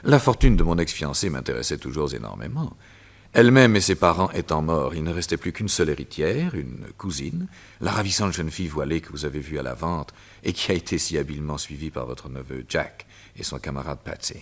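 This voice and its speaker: An actor voicing a gloating, theatrical villain who is a doctor and hypnotist in a vintage radio drama. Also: male voice, rumbling low, expressive, a little fast, heavily acted. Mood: coldly smug. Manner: sneering, theatrical, laughs at his own cruelty.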